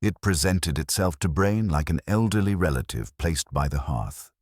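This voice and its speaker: A male audiobook narrator with a very deep, husky voice. He reads literary mystery fiction in a measured, wry way, with lively rises and falls in pitch. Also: no room sound, studio quality.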